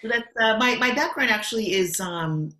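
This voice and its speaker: Bright-voiced American woman, roomy sound. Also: fairly high pitch, lively intonation, moderate pace. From Los Angeles, USA. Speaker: guest. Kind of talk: interview answers, casual. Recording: roomy echo.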